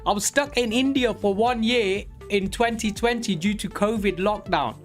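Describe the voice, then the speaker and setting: A man of forty talking casually and candidly on a live trading stream, rambling with many pauses. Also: high-pitched, soft background music. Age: 40s. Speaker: livestream day trader.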